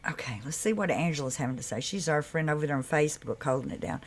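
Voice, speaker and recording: Casual female stream host, deep low voice that carries, talks loosely to viewers. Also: animated, quick pace, husky. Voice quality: deep, carrying voice. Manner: loose and chatty, with laughs and asides to viewers. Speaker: livestream host. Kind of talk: casual live chat.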